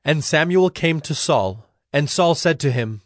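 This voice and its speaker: Measured male scripture narrator, dry studio sound. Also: fairly high pitch, lively intonation, dry recording.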